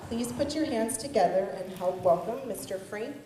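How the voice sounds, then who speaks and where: A woman with a soft-edged, mellow voice delivers a prepared introduction for a guest speaker. She reads a little haltingly and sounds distant from the microphone in a very echoey room. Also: a little high, animated, a little hiss or hum. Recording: echoey room, far from the mic.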